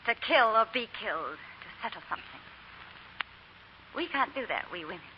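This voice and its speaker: A woman's voice: mid-range voice, sing-song, normal speed, natural voice. Knowing Southern lady played by an actress, muffled sound. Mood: resigned. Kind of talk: 1940s radio drama acting. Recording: muffled, noisy 1940s radio recording.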